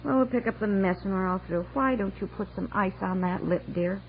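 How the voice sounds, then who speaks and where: An actress playing a brisk, fretting housewife and mother in a chatty, natural 1960s radio comedy style. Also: medium pitch, close to an everyday voice. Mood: weary, chatty. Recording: muffled old radio broadcast, some noise.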